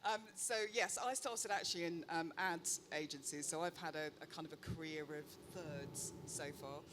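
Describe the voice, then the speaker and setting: A woman's voice: low voice, moderate pace. Chatty woman executive on a panel. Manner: chatty, self-deprecating, frequent ums. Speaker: panel speaker, chief executive. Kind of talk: live panel discussion. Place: conference stage. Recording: faint noise, live event ambience.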